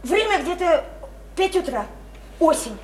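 High-voiced, naive, chatty provincial woman. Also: sing-song, unhurried, heavily acted. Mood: excited, chatty. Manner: colloquial, repetitive, eager, coaxing. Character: naive, chatty, insistent provincial woman smitten with her lodger. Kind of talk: Russian radio play acting. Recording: roomy, slightly noisy radio play recording.

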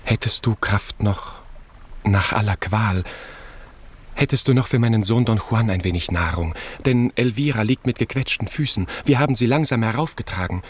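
Man playing an earnest, lowish-voiced nobleman. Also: normal speed, close to an everyday voice. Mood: earnest, pleading. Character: courteous, earnest nobleman and worried young father. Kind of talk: 1950s German radio drama acting.

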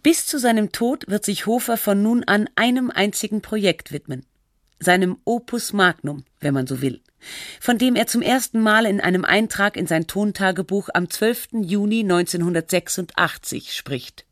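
A woman narrating a radio feature in a measured, factual and articulate scripted style. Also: mid-range voice, dry recording, clean studio sound, lightly acted.

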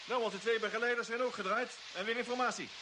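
A man's voice: extremely high pitch, fairly even intonation, fast. An actor playing a chatty, down-to-earth male spaceship crewman with a wry, colloquial manner in a radio play. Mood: relieved, wry. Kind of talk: radio drama acting. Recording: noisy old radio play, heavy hiss.

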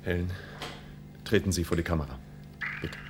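Man playing a low-voiced formal officer. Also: moderate pace, heavy background noise, natural voice. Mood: sober reporting. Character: dutiful, formal male communications officer. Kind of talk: science-fiction radio play acting.

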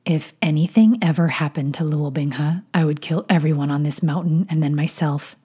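A woman's voice: low-pitched, normal speed, dark tone, slightly rough, voice pushed down. A woman voicing a dignified male master, dry and evasive, in a fan fiction audiobook.

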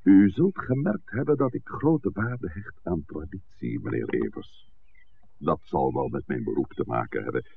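A dignified old-fashioned village priest in a vintage radio play. His very deep voice moves in lively swings, and his phrasing is formal, deliberate and evasive. The recording is muffled and noisy. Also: vocal fry, heavily acted. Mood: measured, evasive. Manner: formal, deliberate, wordy, hesitant at times. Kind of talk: 1960s Dutch radio drama acting.

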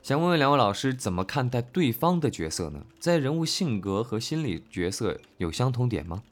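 A male voice actor talking casually and reflectively about his work, earnest and halting, often repeating his phrases. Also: medium pitch, very animated. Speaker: voice actor discussing an audio drama. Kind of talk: casual, reflective cast chat.

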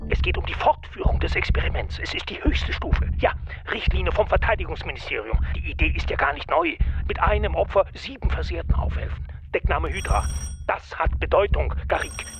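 Grandiose professor over music. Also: male voice, on the high side, very animated, fairly quick, lo-fi sound. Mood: grave, fervent. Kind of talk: radio crime drama acting.

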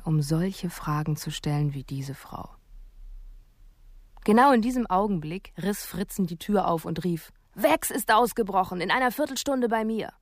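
A radio-drama narration as a police investigator, sober and reflective. Her voice is fairly low, with wry understatement. The sound is dry and close. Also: no room sound.

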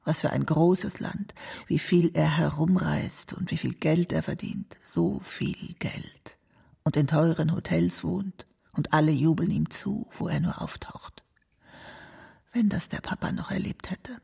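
A woman's voice: low-pitched, unhurried, warm tone, whispered, heavily acted. An actress playing a doting, worried old mother in a radio drama, reminiscing tenderly. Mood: wistful, proud. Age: older. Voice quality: husky, gravelly, breathy. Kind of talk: documentary radio drama monologue.

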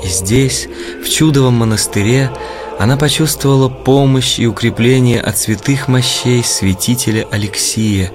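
A man narrating a religious historical audio play from a script in a solemn, measured way. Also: medium pitch. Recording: clear music bed under narration.

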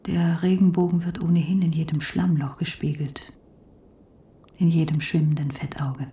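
An actress playing an eerie figure who recites bleak, bitter poetry in a breathy whisper in a radio play. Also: female voice, low voice, fairly even intonation, moderate pace, dark tone, far from the mic. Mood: bleak, brooding. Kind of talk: slow poetic radio drama recitation.

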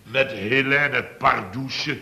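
A pompous middle-aged company boss in a radio comedy around 1970. His voice is piercingly bright and forceful, and he blusters, stammers and repeats his words. The vintage recording has a faint layer of noise. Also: mid-range voice, drawn-out words, exaggerated voice. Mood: pompous, enthusing. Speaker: actor in a radio comedy serial.

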